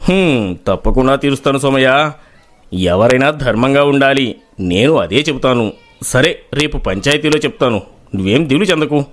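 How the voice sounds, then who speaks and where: A man voicing a respected, fair-minded village landlord in a moral story, measured and authoritative. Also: medium pitch, animated, lightly acted. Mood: calm, authoritative. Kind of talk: moral-story character acting.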